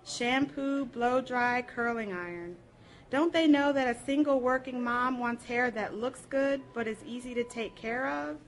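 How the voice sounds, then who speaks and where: High-voiced woman in an expressive dramatic reading. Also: a little slow. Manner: expressive, theatrical delivery. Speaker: reader at a library program. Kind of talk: dramatic first-person literary reading.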